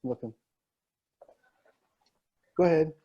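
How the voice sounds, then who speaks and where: A man's voice: a little high, takes it slowly, warm tone, highs cut off. A man chatting casually as a participant in a book club discussion. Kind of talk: casual chat.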